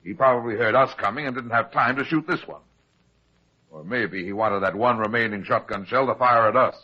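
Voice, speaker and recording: A male actor plays a shrewd, take-charge private eye from an old radio mystery. His voice is husky and sits at an ordinary male pitch, with brisk, commanding delivery. The recording is dull and lo-fi. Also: close to an everyday voice. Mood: assured, explaining. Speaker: lead actor. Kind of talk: old-time radio detective acting.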